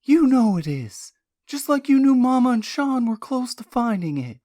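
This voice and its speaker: Woman acting venomous, hysterical young woman, very animated. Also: on the high side, normal speed, no echo, clean studio sound, voice pushed up. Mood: venomous anger. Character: furious, grief-stricken young woman accusing her uncle.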